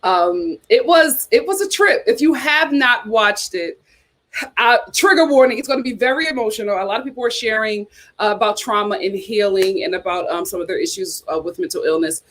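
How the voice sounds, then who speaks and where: A woman's voice: medium pitch, animated, a little fast, fairly bright tone. A young woman hosting a casual livestream, chatting and reading viewer comments, repeating herself as she talks. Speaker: YouTube host.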